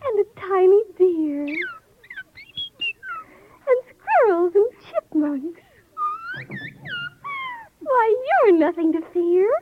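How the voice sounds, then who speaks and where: A woman's voice: very high-pitched, lively intonation, drawn-out words, long pauses, noisy background, exaggerated voice. An actress playing a sweet, innocent young princess with a girlish voice in 1930s radio style. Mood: relieved, sweet. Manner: girlish, earnest, theatrical. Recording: muffled 1930s radio recording, music behind.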